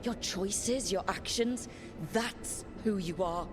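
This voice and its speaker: A woman's voice: mid-range voice, takes it slowly, close to an everyday voice. A woman playing a steadfast, earnest, determined heroine in dramatic video game dialogue. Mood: earnest, encouraging.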